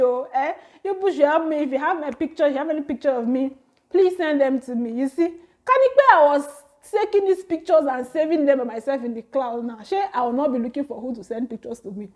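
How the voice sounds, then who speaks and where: High-voiced Nigerian woman in her thirties. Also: a little fast. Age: 30s. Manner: chatty, laughs often, animated exclamations. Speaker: vlogger. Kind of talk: casual talk to camera.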